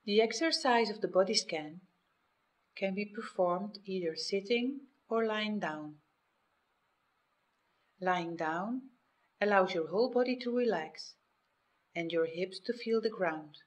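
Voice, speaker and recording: Female meditation guide, medium pitch, scripted instruction delivered in measured phrases with pauses.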